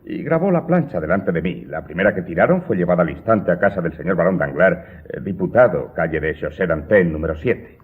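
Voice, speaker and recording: A deferential, uneasy steward. His voice is very raspy and swings widely in pitch as he humbly serves his master. The radio drama sound is muffled. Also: animated, brisk, hardly any pauses. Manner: obsequious, faltering. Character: deferential, nervous male steward serving a count. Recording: muffled, dull radio play audio.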